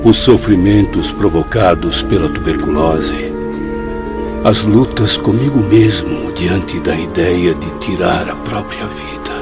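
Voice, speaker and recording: A man's voice: low voice, slow pace. An actor playing an anguished, tormented male spirit in a radio drama. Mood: anguished, grave. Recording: muffled audio with music underneath.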